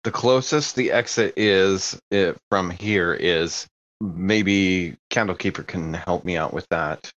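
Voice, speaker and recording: A man chats as a player in a tabletop roleplaying game. His voice sits fairly low, and the recording is a little dull and lo-fi. His delivery is casual and improvised. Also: slow, a bit bright.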